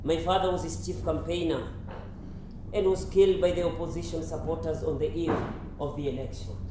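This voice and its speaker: A man's voice: a little high, steady tone, a little fast, lightly acted. A man with a Kenyan accent acting a polite, troubled young university student in a stage play. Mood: grateful, somber. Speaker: stage actor. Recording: very echoey hall, faint noise.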